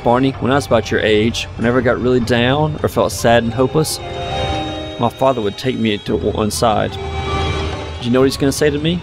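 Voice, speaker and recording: An actor playing a fatherly man in a video game, speaking softly and reassuringly to a sick child, with a soft storytelling lilt. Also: medium pitch, a little fast, natural voice. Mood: coaxing. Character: gentle, fatherly man soothing a sick boy. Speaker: game character, kindly stranger. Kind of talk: video game cutscene acting. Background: music.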